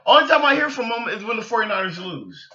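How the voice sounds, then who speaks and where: Guy doing a sports fan rant, bright forceful voice, keeps repeating himself, kinda muffled sound. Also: high-pitched, sing-song. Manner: halting, repetitive, exasperated. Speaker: sports fan podcast host. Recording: dull lo-fi sound, echoey room.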